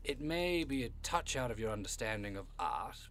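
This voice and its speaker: A man playing a genteel, hospitable and macabre devil-like gentleman in an audio drama, effusive and quaintly polite in a theatrical way. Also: mid-range voice, lively intonation, normal speed, lightly acted. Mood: chatty, proud.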